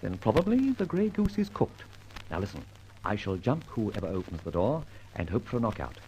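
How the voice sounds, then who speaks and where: An actor playing a dashing, flippant gentleman adventurer in an old-time radio detective drama, with a theatrical, wry and wordy manner. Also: fairly low pitch, very animated, moderate pace, a little hiss or hum, rough recording. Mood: brisk, confident.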